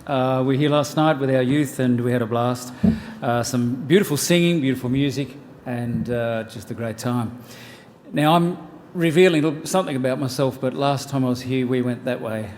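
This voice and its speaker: A man's voice: mid-range voice, moderate pace, hardly any pauses, long reverb tail, slight background noise. Joking Australian man in his sixties. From New South Wales, Australia. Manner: relaxed, joking, many "uh" fillers. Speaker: family ministries pastor. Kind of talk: church workshop talk. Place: church hall.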